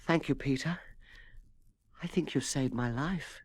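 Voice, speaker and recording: Tallulah, a croaking hoarse cat. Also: male voice, mid-range voice, measured pace, dry recording. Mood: relieved. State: croaking after a bone in the throat. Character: sensational female singing cat, weak and hoarse. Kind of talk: storybook character voice.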